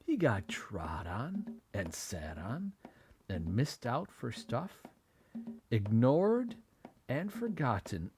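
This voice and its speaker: A man narrating a children's bedtime story expressively, with dramatic, drawn-out phrasing. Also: medium pitch, wildly swooping pitch. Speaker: parent reading a bedtime story. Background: music and added sound effects.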